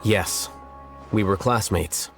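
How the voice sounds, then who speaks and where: An actor playing an aloof, condescending young scholar in a video game, measured, dry and matter-of-fact. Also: male voice, lowish voice, creaky, over loud music. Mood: dry, superior. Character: aloof, condescending young male scholar and scribe, coolly rational.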